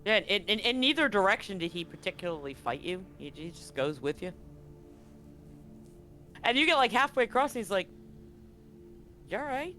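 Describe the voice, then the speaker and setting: A game master narrating a tabletop game in a conversational way, with dramatic pauses. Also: mid-range voice, big pitch swings, sharp tone, soft background music.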